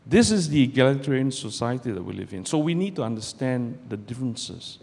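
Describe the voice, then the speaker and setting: A man's voice: medium pitch, big pitch swings, vocal fry, a little mellow, breathy edge. Man speaking in a reverberant hall. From Malaysia. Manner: measured, deliberate delivery with pauses. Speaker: barrister giving a guest talk. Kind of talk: public speech to students. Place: lecture hall, speaking from a podium. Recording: roomy hall with long reverb, faint background noise.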